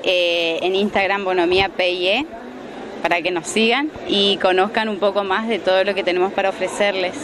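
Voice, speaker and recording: A woman's voice: mid-range voice, steady tone, normal speed, crowd noise. Young woman selling baby goods. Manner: warm, explains with fillers. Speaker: craft vendor. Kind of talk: casual interview. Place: large indoor fair hall. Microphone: handheld mic. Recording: background noise.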